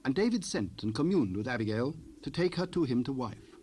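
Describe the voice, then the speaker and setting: A man narrating King James scripture in a measured, formal storytelling manner. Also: mid-range voice, very animated, a little mellow. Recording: unprocessed cassette rip with noise.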